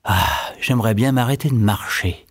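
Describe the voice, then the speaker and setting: A man's voice: fairly low pitch, moderate pace, dry recording, close to an everyday voice. A naive young man on the road, footsore and hopeful, talking in a plain, wistful way, as a male storyteller voices him. His voice stays close to everyday speech.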